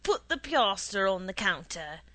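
A woman voicing an impatient male shopkeeper in a storybook, rough and curt. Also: mid-range voice, very animated, a little slow, a bit bright, no echo. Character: impatient, gruff male bean seller.

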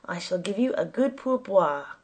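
A woman's voice: mid-range voice, lively intonation, moderate pace, darkish tone, husky. A woman voicing a stubborn but polite taxi passenger in an audiobook, speaking in formal, insistent, emphatic phrases. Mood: politely firm. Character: indignant, stubborn, polite foreign taxi passenger, the story's narrator.

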